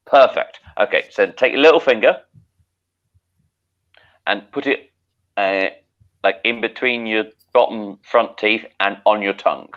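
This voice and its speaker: A man co-hosting a casual comedic chat, wry and dry with drawn-out emphasis. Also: fairly low pitch, a bit bright. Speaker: podcast co-host. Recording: slightly dull lo-fi audio.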